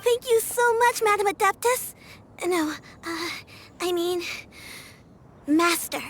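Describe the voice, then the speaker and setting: A woman's voice: extremely high pitch, slow pace, a bit bright, a little hiss or hum, full character voice. An actress playing an earnest, polite young girl in a video game, with an eager manner. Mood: eager, grateful.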